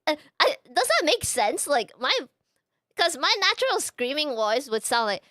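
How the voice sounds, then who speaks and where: Young woman, streamer, bubbly and hesitant, high lively voice, close dry mic. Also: dry recording. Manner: bubbly, halting, lots of fillers and restarts. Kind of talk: casual live-stream chat.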